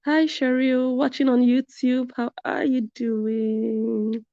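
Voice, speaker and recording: A woman's voice: a little high, flat delivery, slow, warm tone, no room sound. A woman hosting a live commentary stream, reading viewer comments and stressing her points by repeating them. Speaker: commentary channel host. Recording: dull lo-fi sound.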